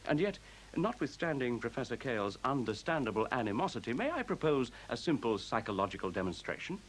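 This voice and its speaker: A man's voice: mid-range voice, very animated, natural voice, poor audio. Serene, articulate machine intelligence, acted by a man, swooping intonation. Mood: calmly reasoning. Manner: measured, formal, articulate, pedantic. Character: newborn machine intelligence, male-voiced, serene, superior, coolly logical. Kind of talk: 1970s science fiction radio play acting. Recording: faint hiss, 1970s radio recording.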